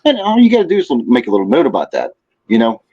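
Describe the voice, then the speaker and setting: A man's voice: medium pitch, huge pitch swings, quick pace, warm tone. A man chatting casually as a podcast guest, giving rambling advice peppered with "you know". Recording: dull, lo-fi audio.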